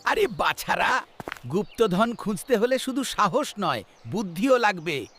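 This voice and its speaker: A man's voice: sits high, big pitch swings, a little slow, a bit bright, soft background music, exaggerated voice. A man voicing a wise, witty jester who guides youngsters in a children's cartoon. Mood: encouraging.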